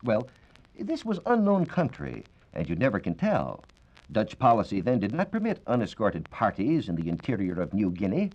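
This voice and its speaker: An American man giving a formal, measured, scripted science talk as a reporter on 1940s radio. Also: on the low side, huge pitch swings. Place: radio studio. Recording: dull, band-limited 1940s radio recording.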